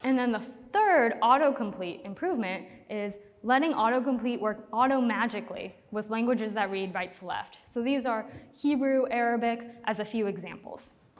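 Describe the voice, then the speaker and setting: A woman's voice: on the high side, normal speed. A woman giving a conference talk as a product manager, upbeat and a little nervous about her first time on stage. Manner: upbeat, slightly nervous presenter. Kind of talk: conference presentation. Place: large conference hall. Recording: long hall reverb.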